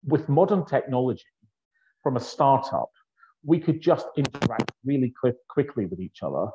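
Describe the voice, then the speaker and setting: A Scottish man in his fifties from the northeast of Scotland, chatting as a guest over a video call with an earphone mic and telling his story in a relaxed, anecdotal way. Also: mid-range voice, moderate pace, background noise. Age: 50s. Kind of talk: conversational interview. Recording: dull lo-fi video call audio.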